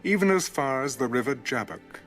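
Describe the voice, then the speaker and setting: A man reading scripture as a scripted audio narration in a measured, formal way. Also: medium pitch, lively intonation, a bit bright. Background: background music.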